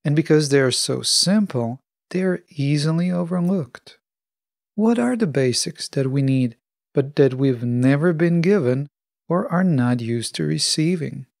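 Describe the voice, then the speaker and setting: A man hosting a podcast, delivering a scripted motivational monologue with measured, deliberate phrasing. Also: fairly high pitch, gravelly, darkish tone, no room sound, clean studio sound. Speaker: podcast host.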